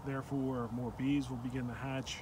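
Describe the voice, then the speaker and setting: A man beekeeper calmly narrating a hive check outdoors, filming with a handheld phone. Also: mid-range voice, moderate pace. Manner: calm, matter-of-fact commentary. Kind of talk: casual narration while filming. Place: outdoors among beehives in snowy yard. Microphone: handheld phone camera. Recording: outdoor phone recording with steady background noise.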